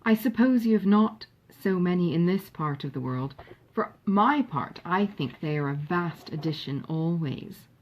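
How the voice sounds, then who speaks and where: A woman's voice: on the low side, expressive, a little slow, muffled recording. A woman reading aloud as a gushing, chatty, forward young lady, effusive and emphatic, in a storybook. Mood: prying, eager. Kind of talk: acted dialogue in a storybook reading.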